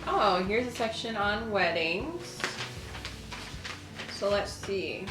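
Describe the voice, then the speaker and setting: A woman's voice: mid-range voice, sing-song, takes it slowly. A woman, hosting a casual video, chatting and reading aloud. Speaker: host of a lifestyle video channel. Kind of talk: casual chat with reading aloud from an old newspaper. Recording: roomy echo, faint music underneath.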